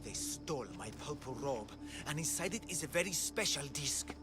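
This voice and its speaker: An actor playing a grandiose, deluded self-proclaimed god in a video game, with a pompous, theatrical, declamatory delivery. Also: male voice, fairly high pitch, animated, moderate pace, lightly acted. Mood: self-important. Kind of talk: video game cutscene acting. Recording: music playing behind the voice.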